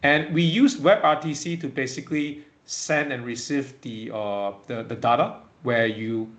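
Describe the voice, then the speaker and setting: A man presents a technical product webinar in a medium-pitched voice. He talks informally through slides as a company presenter. His delivery is halting and peppered with little check-in words.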